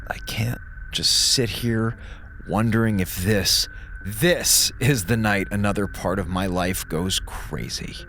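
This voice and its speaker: A man acting in an audio drama as an exhausted, anxious space station crewman, speaking haltingly and emotionally. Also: on the low side, pushed and bright, soft background music, exaggerated voice. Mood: anguished. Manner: halting, emotional, swinging delivery.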